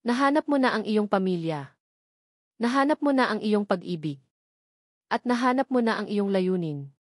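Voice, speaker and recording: A woman voicing a frail, loving old grandfather on his deathbed, gentle and reassuring. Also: mid-range voice, lively intonation, normal speed, no room sound, studio quality, natural voice. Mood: peaceful, loving.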